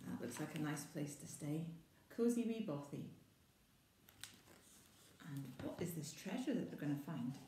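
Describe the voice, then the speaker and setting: A woman's voice: deep voice, lively intonation, normal speed, pauses often, dark tone, slight background noise. A Scottish woman reading a children's picture book aloud, with chatty asides to young listeners. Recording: echoey room.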